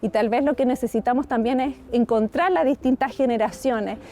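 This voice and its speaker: A woman's voice: fairly high pitch, brisk, warm tone. A woman speaking in an interview in a reflective way with fillers. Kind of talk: interview explanation.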